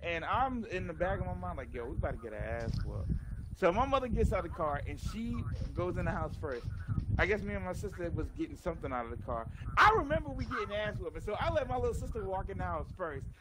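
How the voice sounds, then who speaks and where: Animated, high-voiced man telling stories. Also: quick pace. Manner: animated, profane, slangy, acts out quoted dialogue. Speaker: gaming channel player telling childhood stories. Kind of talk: casual storytelling over online game chat. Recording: noisy game voice chat with clicks.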